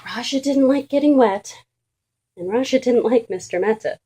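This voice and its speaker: A woman reading a children's storybook aloud in an expressive, deliberate and emphatic way for young listeners. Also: fairly high pitch, breathy edge. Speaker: children's book reader.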